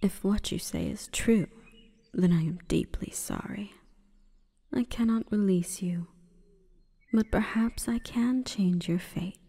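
Woman as a slow, whispery, echoing forest goddess. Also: mid-range voice, sing-song, warm tone, exaggerated voice. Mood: softer, regretful. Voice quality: breathy, often whispering. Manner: slow, stately, measured. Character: imperious forest goddess monster girl, calm and menacing. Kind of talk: whispery ASMR roleplay. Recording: long reverberant echo.

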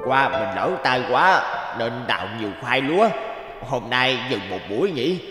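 Sly, gluttonous cartoon tanuki played by a man, whiny and muttering, lively swooping pitch. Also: normal speed, loud music behind the voice, close to an everyday voice. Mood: sneaky muttering. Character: sly greedy thieving tanuki, gluttonous and wheedling. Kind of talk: animated cartoon dialogue.

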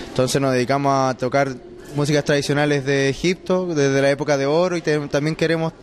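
Man, 30s, musician interviewee, higher-than-average voice, light background noise. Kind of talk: informal interview answers. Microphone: handheld microphone held by interviewer. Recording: faint ambient noise.

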